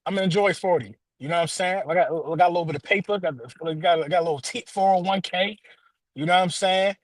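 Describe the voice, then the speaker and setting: Casting: American man, thirties, slangy sports-show co-host, rapid-fire casual banter in a high register. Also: no room sound. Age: 30s. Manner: slangy, repeats phrases emphatically. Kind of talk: casual joking chat.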